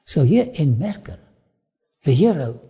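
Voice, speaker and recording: A man with an Afrikaans accent presents a travel documentary, leaning into each phrase with slow emphasis. His voice sits a little high but sounds dark and husky, with a gravelly edge. He drifts into sermon-like asides. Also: big pitch swings.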